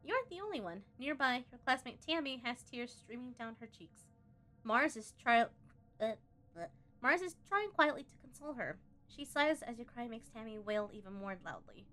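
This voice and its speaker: A woman streaming a game live, chatty and expressive, reading the on-screen story aloud and tossing in playful asides. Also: fairly high pitch, moderate pace. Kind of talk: live let's-play commentary, reading game text aloud.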